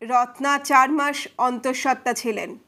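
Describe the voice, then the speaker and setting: A woman playing a calm male detective who questions politely and methodically in an audio story. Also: high-pitched, a little slow, full character voice. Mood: probing.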